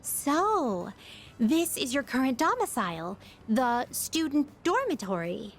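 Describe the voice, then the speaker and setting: A woman voicing a poised, curious otherworldly young woman in a video game, speaking very formally and deliberately. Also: high-pitched, sing-song, faint music behind, heavily acted. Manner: elegant, formal, deliberate wording.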